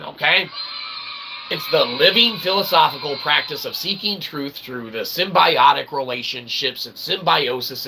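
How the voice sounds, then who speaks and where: A man's voice: on the high side, vocal fry, bright, forceful tone, slight background noise, rough recording. A man delivering a rambling philosophical monologue as a streamer, in wordy, pseudo-academic phrasing, emphatic and punctuated with check-in okays and the odd swear. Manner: verbose, pseudo-academic wording with tag okays and occasional swearing. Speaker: streamer, self-styled author and philosopher.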